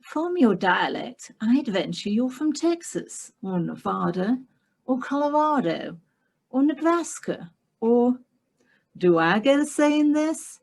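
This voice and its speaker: Prim snooty young english miss, as a woman reads her lines, husky and crisp with a sharp tongue. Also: a little high, big pitch swings, pitched above the performer's own voice, full character voice. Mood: haughty. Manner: crisp, haughty, quick to retort.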